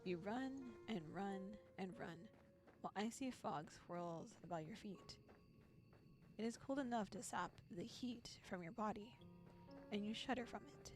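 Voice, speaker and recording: A woman reading as the theatrical narrator of a horror visual novel, deliberate and foreboding. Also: medium pitch, lightly acted. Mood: ominous. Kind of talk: dramatic second-person storytelling. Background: music.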